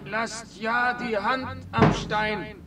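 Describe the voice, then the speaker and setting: Stern anxious overseer, high voice, very slow declamation, echoey, loud music. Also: male voice, steady tone, recorded from a distance, full character voice. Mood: urgent warning. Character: anxious, stern overseer of slaves hauling a frieze. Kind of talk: declaimed radio drama chant.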